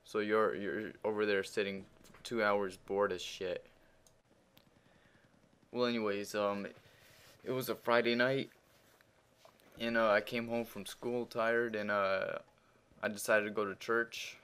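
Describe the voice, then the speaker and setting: Teen boy, russian accent, low smooth voice, rambling crude storytelling, lots of swearing, gaming commentary. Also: flat delivery, unhurried, long pauses. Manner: crude, profane, rambling with many fillers; grows louder and heated while retelling the argument. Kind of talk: casual storytelling over gameplay.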